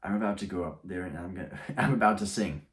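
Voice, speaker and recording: Low-voiced young man rambling to camera. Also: quick pace. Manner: rambling with frequent restarts. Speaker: YouTuber. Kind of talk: casual talk to camera.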